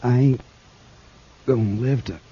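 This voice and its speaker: An actor playing a wounded, doomed frontier outlaw, in a radio drama. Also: male voice, medium pitch, unhurried, warm tone, natural voice. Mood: dying, resigned. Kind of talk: 1950s radio western acting. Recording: muffled vintage radio recording, faint noise.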